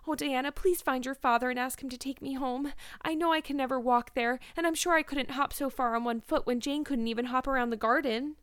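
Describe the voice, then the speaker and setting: A woman's voice: high-pitched, brisk, few pauses, dry recording, clean studio sound, voice pushed up. A woman voicing an earnest, dramatic young girl in a storybook, talkative and grandly wordy. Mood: weak, pained. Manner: solemn, grandly wordy, theatrical. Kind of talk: storybook character voice.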